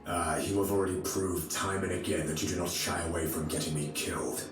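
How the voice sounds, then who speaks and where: An actor playing a righteous, resolute knight lord, earnest and commanding, in a game cutscene. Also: male voice, low voice, steady tone, fairly quick, natural voice. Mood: grave. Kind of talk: dramatic video game cutscene acting. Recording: distant, very echoey, faint music behind.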